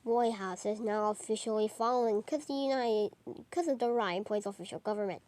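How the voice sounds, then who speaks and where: A woman reading a homemade mock emergency broadcast in a halting, improvised way with stumbles. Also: medium pitch, a little mellow. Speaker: narrator of a homemade emergency alert scenario.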